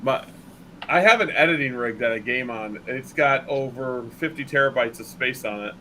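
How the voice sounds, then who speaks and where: Bright-voiced enthusiastic middle-aged podcast host. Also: male voice, medium pitch, normal speed, a little hiss or hum, low-quality recording. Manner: enthusiastic, rambling, repeats himself. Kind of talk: casual podcast chat.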